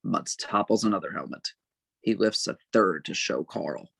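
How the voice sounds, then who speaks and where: A man's voice: low voice, normal speed, creaky, fairly bright tone, slightly rough, no room sound. Man narrating a script in a dry-sounding space. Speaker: narrator reading stage directions. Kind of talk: scripted narration at a table read.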